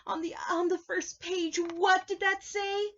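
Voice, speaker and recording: Fretful furry blue monster, male, high and pleading; a woman acts it out as she reads. Muffled, lo-fi sound. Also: expressive, pushed and bright, full character voice. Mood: alarmed. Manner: high, frantic, pleading, drawn-out. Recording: dull lo-fi recording.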